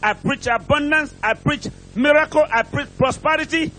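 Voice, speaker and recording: A man's voice: extremely high pitch, moderate pace, sharp tone, a little hiss or hum. A man preaching a sermon from a pulpit in an emphatic, declamatory way, with short repeated phrases and rhetorical questions. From outside England, preaches there as a visitor. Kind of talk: impassioned prosperity sermon. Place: church pulpit, preaching to a congregation.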